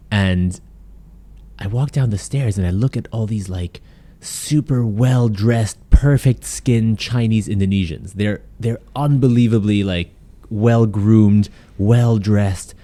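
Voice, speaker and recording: A young man from Germany and the UK, a content creator, telling stories as a guest in a casual podcast interview. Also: lowish voice. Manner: quick, animated storytelling with frequent "like".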